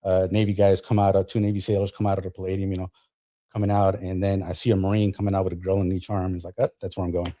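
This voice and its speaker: Low, mellow man in his fifties. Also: flat delivery, fast. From New Jersey, born in Colombia. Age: 50s. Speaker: podcast guest, Marine veteran. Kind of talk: casual podcast storytelling.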